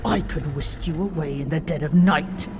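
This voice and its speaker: A woman voicing an ancient, huge female dragon in a scripted roleplay drama, haughty and easily flustered, scolding her rider in formal, measured speech with hidden fondness. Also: deep voice, close to an everyday voice. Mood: stern, unsettled. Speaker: voice actor. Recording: long reverb, clear background noise.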